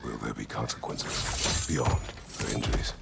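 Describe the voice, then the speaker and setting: A man playing a stern, stoic, battle-hardened older general in a video game, with a deep gravelly voice and terse, grave, formal delivery. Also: measured pace, very noisy, full character voice. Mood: gruff, concerned. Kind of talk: video game cinematic acting. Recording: game music behind the voice.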